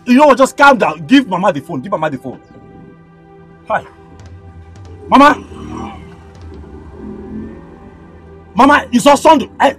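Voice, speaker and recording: A man's voice: very high-pitched, bright, forceful tone, husky, heavily acted. A Nigerian man acting a hot-tempered tricycle driver in a screen drama, indignant and repeating himself. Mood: exasperated, then stern.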